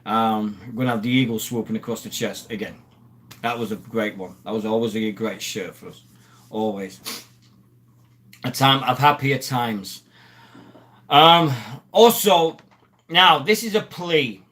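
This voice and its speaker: A man chats loosely into a webcam mic, hosting a live stream for fans. He rambles from one story to the next and breaks off to greet viewers in the chat. His voice is bright and forceful at a middle pitch. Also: a little slow, pauses often. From Sheffield, England. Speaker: live stream host, former rugby league player.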